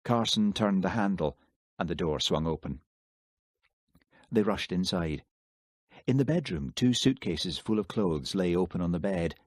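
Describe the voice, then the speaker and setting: A man narrates a novel in a steady, measured way. His voice is low, and the recording is very dry and close to the mic. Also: dry recording. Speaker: audiobook narrator. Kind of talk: scripted narration.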